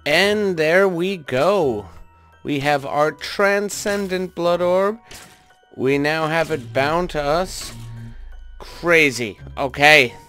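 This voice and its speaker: A man's voice: on the high side, takes it slowly, sharp tone, faint music behind. A man hosting a gaming video, giving casual commentary and thinking aloud in an informal way as he plays. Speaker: host of a gaming video series.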